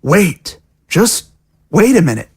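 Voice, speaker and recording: A woman's voice: on the low side, very animated, slow, darkish tone, breathy edge, heavily acted. A woman voicing a curious, matter-of-fact little zombie boy who talks bluntly and casually in an audio drama. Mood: urgent.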